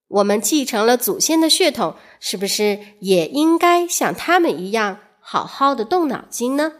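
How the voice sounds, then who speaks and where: A woman narrates an educational story for children. Her voice sits a little high and moves up and down in a very animated way. She addresses young listeners directly, drawing them in with questions.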